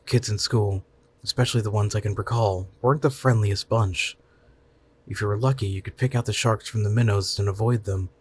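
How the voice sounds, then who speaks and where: Husky low-voiced man reading a story. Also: fairly even intonation, a little fast. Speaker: story narrator. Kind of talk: scripted short story narration.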